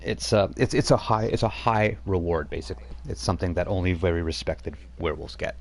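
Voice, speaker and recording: A man's voice: sits low, fairly quick, vocal fry. A man running a tabletop role-playing game over voice chat, narrating loosely with many fillers and restarts. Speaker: game master.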